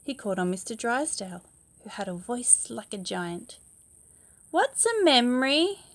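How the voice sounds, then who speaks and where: A woman reads a children's picture book as a bedtime storyteller. Her voice sits at a medium pitch. She leans on key words expressively and speaks to a young listener, sometimes with a question. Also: moderate pace.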